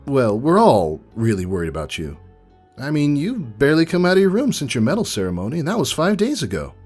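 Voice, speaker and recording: A man's voice: medium pitch, huge pitch swings, fairly quick, darkish tone, faint music behind. A man voicing a nervous young stallion, an earnest worried friend who stammers and pleads. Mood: hesitant. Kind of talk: fan audio drama acting.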